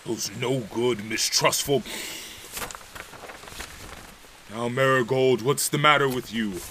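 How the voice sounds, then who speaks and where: An actor playing an imperious, excitable ruler in an audio drama, gruff, dismissive and commanding. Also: male voice, mid-range voice, normal speed, slight background noise, close to an everyday voice. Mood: grumbling. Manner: blustering, commanding. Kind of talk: theatrical audio drama acting.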